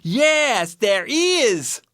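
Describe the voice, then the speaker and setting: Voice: medium pitch, very animated, drawn-out words, fairly bright tone, dry recording, clean studio sound, pitched above the performer's own voice, heavily acted. A storyteller voicing an eager, cheeky little boy bear cub in a children's storybook tale.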